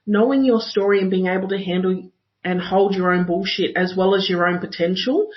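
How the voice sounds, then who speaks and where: A woman speaking earnestly and in a measured way as a guest in a reflective discussion. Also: mid-range voice, muffled recording. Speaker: panel guest.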